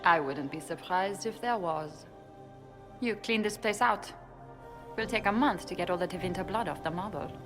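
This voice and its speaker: A woman's voice: lowish voice, normal speed, music in the background, lightly acted. A woman playing a shrewd elven ambassador and spymaster in a fantasy video game, speaking in a wry, knowing, persuasive way. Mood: wry, probing. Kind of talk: dramatic video game dialogue.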